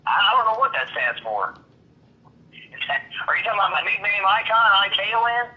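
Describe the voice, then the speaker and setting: A man's voice: sits high, very animated, brisk, lo-fi sound. A man in his thirties, a wrestling ring announcer from Missouri, giving rambling, earnest interview answers full of restarts. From Missouri, USA. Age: 30s.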